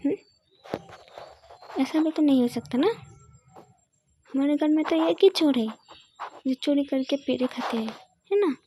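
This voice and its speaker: A woman voicing a calm, teasing middle-aged mother-in-law in a story. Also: sits high, slight background noise.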